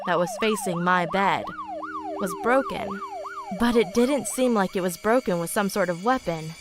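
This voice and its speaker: A woman's voice: medium pitch, huge pitch swings, moderate pace. A woman reading a scripted first-person horror story as narration. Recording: music playing loudly behind, siren sounds. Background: loud music with siren sounds.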